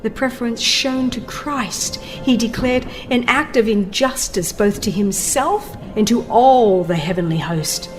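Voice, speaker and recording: A woman giving a dramatized, scripted narration of a religious book in a solemn, deliberate, theatrical manner, her phrases often ending hushed. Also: medium pitch. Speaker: audiobook narrator. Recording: music behind the voice. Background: background music.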